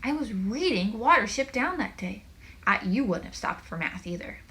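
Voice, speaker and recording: A woman's voice: mid-range voice, sing-song, moderate pace, bright, forceful tone. A woman voicing an outraged, dramatic bookworm schoolgirl in a children's storybook, incredulous and emphatic. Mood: outraged disbelief.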